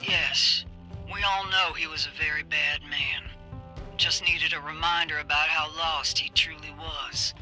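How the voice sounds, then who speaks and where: Piercingly hard-edged, grim bounty hunter. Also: female voice, low-pitched, steady tone, a little slow, bright, forceful tone, heavily acted, rough recording. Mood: grim, reflective. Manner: blunt, hard-bitten, folksy. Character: tough, grim, vengeful female bounty hunter. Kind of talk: dramatic video game voice acting. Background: music.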